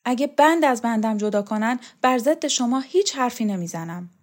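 A woman voicing an earnest, anxious young male student who pleads quickly. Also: fairly high pitch, lively intonation, voice pushed up. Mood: pleading.

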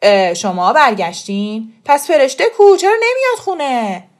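A woman's voice: high voice, big pitch swings, voice pushed up. A woman voicing a brusque, impatient young man in an audiobook story. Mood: demanding, loud.

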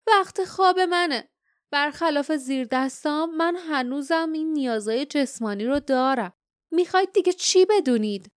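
Woman voicing a high-pitched, sly, smug male villain. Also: lively intonation, dry recording, studio quality. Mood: bored, condescending. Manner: languid, mocking, drawn-out menace. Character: sly, contemptuous bearded male guardian villain, theatrically smug. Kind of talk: dramatised audiobook storytelling.

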